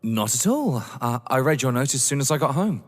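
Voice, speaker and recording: An actor playing a reserved, polite young guest in an audio drama, hesitant and terse. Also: male voice, mid-range voice, very animated, a little fast. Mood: polite, wary. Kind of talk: stage thriller audio drama acting. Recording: long reverberant hall echo.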